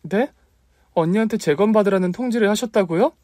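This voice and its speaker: Scatterbrained young aunt, warm and high-pitched, read by a man. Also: normal speed, dry recording, clean studio sound, close to an everyday voice. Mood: shocked.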